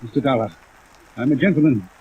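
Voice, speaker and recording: An actor playing a coolly protective, wealthy husband with formal, polite menace in a 1950s radio crime drama. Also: male voice, mid-range voice, fast talker, phone-quality sound, natural voice. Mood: cold, controlled. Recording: band-limited old radio, rain noise.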